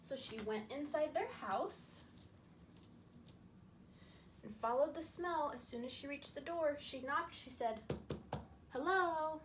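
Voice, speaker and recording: A woman with a high voice reads a story for small children. She speaks slowly, repeating and stressing simple phrases so little listeners can follow. There is some noise behind her. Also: expressive. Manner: slow, repetitive, emphatic, explaining to small children. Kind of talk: story time for young children, narrating with puppets.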